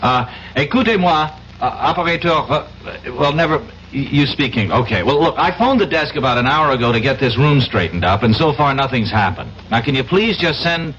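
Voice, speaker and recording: An actor playing a brash, excitable young American man, breezy, stammering and insistent, in a radio drama. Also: mid-range voice, brisk, few pauses, lightly acted. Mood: flustered. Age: young adult. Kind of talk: 1970s radio drama acting. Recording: noisy, dull old radio recording.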